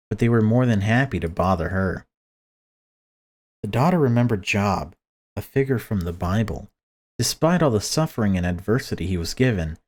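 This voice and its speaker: Male let's player, low register, reading grim story narration aloud with dramatic delivery. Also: a little mellow.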